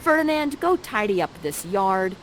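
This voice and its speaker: A woman voicing a commanding, scheming sorceress mother, declaiming loudly as in a stage play. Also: medium pitch, big pitch swings, moderate pace, a little hiss or hum, lightly acted. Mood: bossy. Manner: loud theatrical declaiming.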